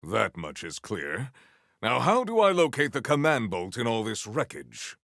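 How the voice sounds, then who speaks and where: A man voicing a big, formal, earnest warrior in a video game, with stately, measured phrasing and a solemn temper. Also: very animated, fairly bright tone, no room sound, studio quality, exaggerated voice. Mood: measured, grave. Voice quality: deep, gravelly.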